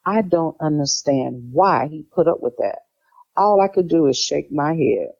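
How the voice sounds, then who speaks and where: A woman's voice: deep voice, animated, unhurried, gravelly, full character voice. A woman playing a sassy, judgmental young sister in an audio drama. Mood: gossipy, scornful. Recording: slightly dull audio, music underneath.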